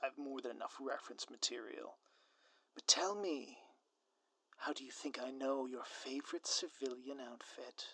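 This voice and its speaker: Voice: mid-range voice, normal speed, a bit bright, no room sound, rough recording. A performer voicing a scheming, smug ex-supervillain spouse in a scripted audio roleplay, with a theatrical, sardonic, grandiloquent manner. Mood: smug, teasing. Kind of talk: scripted audio roleplay monologue addressed to listener.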